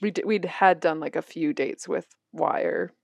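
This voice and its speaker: 30代の女性が、ポッドキャストでよく笑いながら気楽に雑談している。. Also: female voice, on the low side, a little slow, gravelly, no room sound, clean studio sound. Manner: laughs often, relaxed and chatty. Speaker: podcast co-host, musician. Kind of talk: casual chat.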